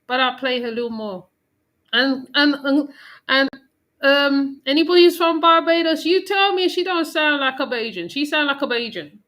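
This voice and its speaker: A woman hosts a reaction video in a chatty, rambling way, often breaking off to restart her sentences. Her voice is fairly high and very bright. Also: moderate pace. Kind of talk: casual commentary.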